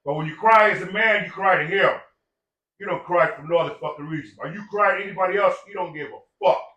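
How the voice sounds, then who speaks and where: A man's voice: high-pitched, quick pace, bright, forceful tone, distant mic, lo-fi sound. Profane, preachy older man in an echoey room. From Samoan heritage. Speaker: podcast guest. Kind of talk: casual chat.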